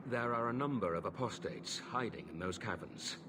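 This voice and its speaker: An actor voicing a weary, earnest middle-aged knight in a video game, speaking in a formal, measured way. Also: male voice, fairly low pitch, animated, noisy background. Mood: grave, urging. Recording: music behind the voice.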